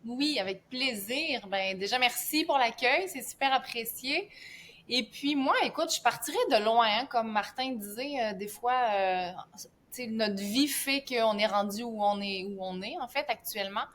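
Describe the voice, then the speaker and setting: A woman's voice: a little high, moderate pace, a little hiss or hum. A woman from southern France, a guest health coach, telling her story in a casual podcast, with frequent laughs and fillers. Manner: warm storytelling, laughs often, many fillers.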